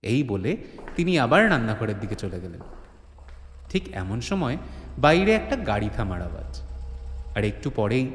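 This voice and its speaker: A man's voice: medium pitch, very animated, normal speed, pauses often. A man narrating a comic mystery audio drama as a dramatic scripted storyteller. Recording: roomy, long studio reverb.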